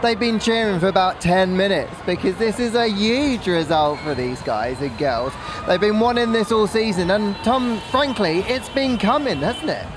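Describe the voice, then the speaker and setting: A man's voice: high voice, lively intonation, normal speed, hardly any pauses. A male motorsport reporter giving a podcast report on location outdoors. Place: outdoors by team garage. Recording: noisy outdoor paddock ambience.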